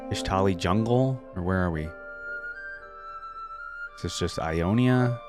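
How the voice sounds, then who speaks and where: A man's voice: low voice, measured pace, music in the background. Man reacting casually, thinking aloud. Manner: musing, thinking aloud in short remarks. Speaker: reaction video host. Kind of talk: casual off-the-cuff reaction commentary.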